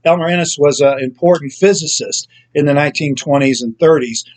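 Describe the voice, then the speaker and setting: A man's voice: on the high side, a little fast, a little mellow, low-quality recording. Hesitant man reviewing a book. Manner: unscripted, many ums and uhs, self-corrections. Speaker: book reviewer, show host. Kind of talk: casual spoken book review.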